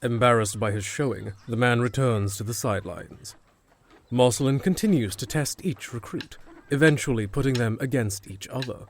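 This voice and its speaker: A man's voice: medium pitch, moderate pace, a little hiss or hum. A man narrating a scripted fantasy audio drama as a storyteller.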